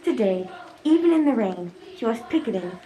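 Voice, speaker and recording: A woman's voice: on the high side, sing-song, moderate pace, dark tone. A woman reading scripted narration for a radio play.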